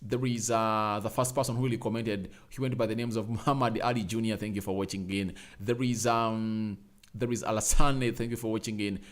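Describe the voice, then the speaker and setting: A young man hosting a casual fan live stream, reading out viewer names and comments, repeating himself and pushing up to loud calls for likes. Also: fairly low pitch, steady tone, a little fast. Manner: emphatic, rising to loud calls. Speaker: fan channel host.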